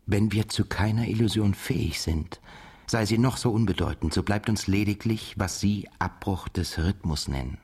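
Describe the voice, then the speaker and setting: An actor in a literary radio drama playing a haunted, reflective narrator, courteous and quietly probing, with restrained, formal speech. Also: male voice, fairly low pitch, moderate pace, breathy edge.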